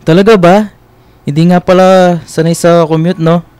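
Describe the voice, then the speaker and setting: A man's voice: sits high, level tone, normal speed, a little mellow, clean tone, dry recording, natural voice. An actor with a Filipino accent playing a flirty, joking, caring man of twenty-two in a radio romance drama. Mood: caring, playful. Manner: playful, boastful, sweet-talking. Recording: close dry studio, music behind.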